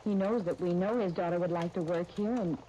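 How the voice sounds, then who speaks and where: An actress playing an earnest, tactful young dress designer in a 1930s radio soap opera, speaking in a polite, gently persuasive way. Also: female voice, lowish voice, brisk, close to an everyday voice. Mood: conciliatory, persuading. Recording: muffled, hissy 1939 radio broadcast recording.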